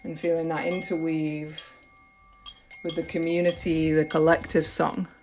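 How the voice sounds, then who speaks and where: A woman with a low, mellow voice guides a meditation. She speaks very slowly and gently, leaving long pauses between phrases. Some noise sits behind her voice. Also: little pitch movement. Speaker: yoga nidra teacher. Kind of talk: guided meditation, slow soothing narration.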